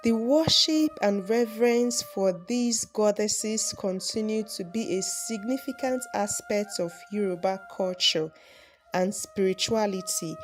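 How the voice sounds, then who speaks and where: A woman tells a folktale in scripted narration, as a storyteller addressing an audience of viewers. Her pitch sits in the middle range for a woman. She takes her time with a slow delivery, and soft music plays faintly behind her. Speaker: storyteller and channel host.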